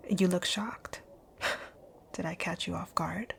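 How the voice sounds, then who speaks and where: A woman playing a rich, dominant company owner in an ASMR roleplay, speaking in a composed, commanding, breathy near-whisper. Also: lowish voice, fairly even intonation, takes it slowly, fairly bright tone, full character voice. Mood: businesslike, commanding. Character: rich, bossy, dominant female company owner, curt yet flirtatious. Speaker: audio roleplay voice actor. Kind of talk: ASMR roleplay, scripted one-sided dialogue.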